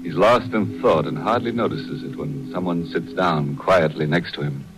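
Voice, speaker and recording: An actor playing a gleefully morbid horror show host in a 1940s radio style, with drawn-out, mock-sinister punning delivery. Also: male voice, very deep voice, rough recording. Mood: ominous narrating. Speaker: host and narrator. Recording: old 1940s radio recording with music.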